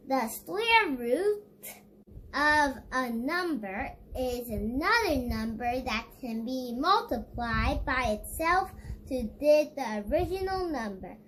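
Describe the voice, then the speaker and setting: A woman's voice: high voice, a bit bright, slight background noise. A female reader reading an educational children's book aloud, stumbling over words now and then. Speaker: read-along book reader.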